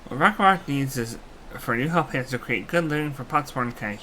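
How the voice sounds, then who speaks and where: A man's voice: medium pitch, brisk, bright, forceful tone, a little hiss or hum, lightly acted. A man voicing a small, eager creature helper who talks about itself by name in broken third-person speech, over game music. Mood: earnest. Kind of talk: fan voicing of game dialogue.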